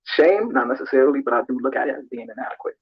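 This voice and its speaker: A man answering interview questions in a reflective, hesitant and measured way, on muffled played-back audio. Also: medium pitch, animated, husky, no echo.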